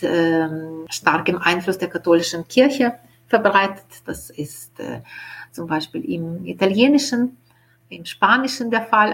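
A woman whose first language is Ukrainian, explaining her research in a studio interview, thoughtful and hesitant with many pauses. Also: mid-range voice, breathy edge. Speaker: linguist guest.

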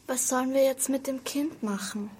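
A woman's voice: high-pitched, normal speed, darkish tone, husky. A young schoolgirl playing an anxious orphanage nun in an amateur radio play. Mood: worried. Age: child pupil.

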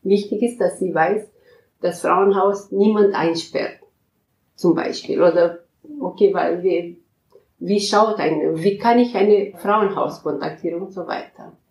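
A woman counselor answering interview questions in a halting way, often searching for words and restarting sentences. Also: fairly low pitch, darkish tone, roomy sound, poor audio. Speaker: counselor at a migrant women's advice center.

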